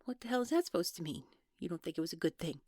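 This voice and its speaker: A woman playing a guarded, awkward young woman in an audio drama, speaking naturally in a halting, hesitant way. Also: lowish voice, expressive, dark tone, dry recording, clean studio sound. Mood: agitated, defensive. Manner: hesitant, halting, trailing off, nervous laughs. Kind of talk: naturalistic one-sided audio roleplay acting.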